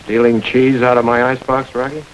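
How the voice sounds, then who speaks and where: An actor playing a hard, commanding gang boss who gives terse orders, in the style of an old film noir radio drama. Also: male voice, medium pitch, level tone, a little fast, a little hiss or hum, close to an everyday voice, low-quality recording. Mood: defiant.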